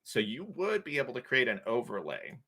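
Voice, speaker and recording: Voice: medium pitch, big pitch swings, fairly quick, sharp tone, breathy edge. A co-host of a live stream tutorial, talking casually and thinking aloud with many fillers while explaining steps. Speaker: co-host, platform guide.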